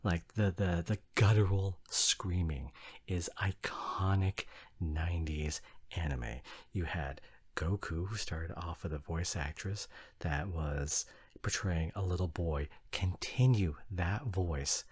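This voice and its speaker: A man playing an exasperated, flustered, snobbish young anime fan boyfriend in an ASMR roleplay, arguing in halting bursts with repeated words. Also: deep voice, breathy edge, exaggerated voice. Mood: indignant, passionate. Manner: halting, repeats words, sighing emphatic rant. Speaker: audio roleplay performer.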